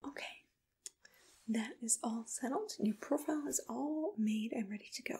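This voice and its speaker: A woman playing a gentle, soothing monster matchmaker in a whispered ASMR roleplay, unhurried and reassuring. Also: on the high side, a little hiss or hum, close to an everyday voice. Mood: pleased, businesslike. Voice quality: breathy, whispery. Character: soft-spoken, accommodating female monster matchmaker. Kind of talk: ASMR personal-attention roleplay, mostly whispered.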